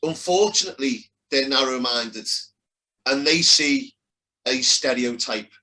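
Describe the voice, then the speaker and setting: A man's voice: mid-range voice, a little slow, bright, forceful tone, low-quality recording. A man with a Liverpool accent arguing his side in a heated casual debate, blunt and emphatic. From Liverpool, England.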